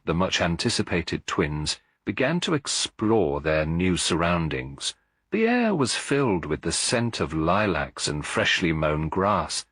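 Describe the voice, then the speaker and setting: Deep-voiced, husky male story narrator. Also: fairly bright tone, no echo, studio quality. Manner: measured, literary storytelling delivery. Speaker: audiobook narrator. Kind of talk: scripted mystery story narration.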